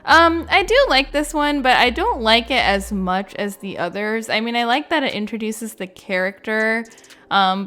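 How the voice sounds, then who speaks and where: A woman's voice: mid-range voice, a bit bright, clean tone. A woman giving casual, unscripted gaming commentary in a chatty, informal way, peppered with "like" and "you know.". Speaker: gaming video creator and commentator.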